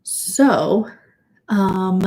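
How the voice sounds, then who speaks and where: A woman's voice: mid-range voice, lively intonation, extremely slow, warm tone, poor audio. A young woman chatting casually on a livestream from home, a bit sniffly from allergies, rambling with fillers and restarts. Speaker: vlogger hosting a livestream. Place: at home indoors.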